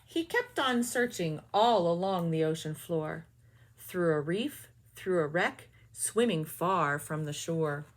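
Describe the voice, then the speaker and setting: A woman teacher reading a children's picture book aloud with expressive storytelling and quick, quiet asides. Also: fairly low pitch.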